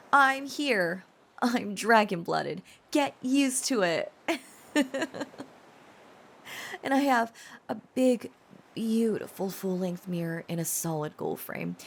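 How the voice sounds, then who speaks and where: A woman playing an earnest, devoted dragon-blooded girlfriend in an intimate romantic audio roleplay, confiding with hesitant pauses. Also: lowish voice, gravelly, slight background noise, exaggerated voice. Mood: playfully proud. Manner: hesitant, confiding, soft-spoken pauses and stammers.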